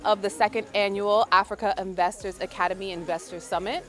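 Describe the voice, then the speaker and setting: A woman from Atlanta introducing herself in a measured, matter-of-fact way for an interview. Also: mid-range voice, fairly even intonation, fairly bright tone. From Atlanta, USA.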